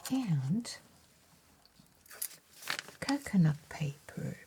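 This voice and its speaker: A woman playing a courteous, attentive letter writer in an ASMR roleplay, speaking in a soft, breathy whisper with gentle, formal deliberation. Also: low voice, sing-song, very slow, a little hiss or hum, heavily acted.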